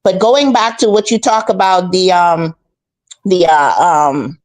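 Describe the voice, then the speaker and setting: A woman from the Bahamas, talking casually and calmly about faith, a little preachy and repeating her points. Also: on the low side, unhurried. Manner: calm, preachy, repeats herself. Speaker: guest commentator. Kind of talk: casual faith-based chat.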